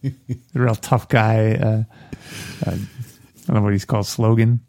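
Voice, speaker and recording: A man's voice: on the low side, steady tone, measured pace, darkish tone. A man co-hosting a podcast in casual chat, teasing and laughing often. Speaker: podcast co-host.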